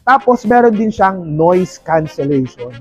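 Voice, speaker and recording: Man, Filipino accent, high voice, salesman-like, playful vlogger chat, English terms mixed in, background music. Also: big pitch swings, unhurried, lo-fi sound. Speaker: vlogger presenting a product unboxing. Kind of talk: casual product review chat.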